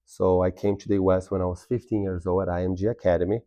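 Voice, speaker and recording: Low, dark-voiced man from Brazil. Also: level tone, fast, no room sound. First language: Portuguese. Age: 30s. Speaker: guest. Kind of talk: interview answers.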